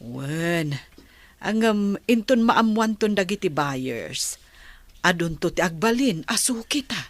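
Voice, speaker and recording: A woman's voice: lowish voice, animated, takes it slowly, no room sound. A woman acting a patient, encouraging mother of a grown son in a radio drama.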